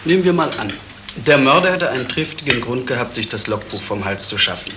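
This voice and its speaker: An actor playing a polite, reasonable houseguest in a 1970s German radio crime drama, with a measured manner. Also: male voice, medium pitch, animated, lightly acted. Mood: reasoning. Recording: noisy old radio play recording.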